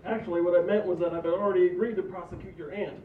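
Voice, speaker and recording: A man playing a brisk, unhelpful lawyer in a comedy table read. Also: high-pitched, fairly even intonation, natural voice. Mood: breezy. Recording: distant, echoey, tinny recording with hiss.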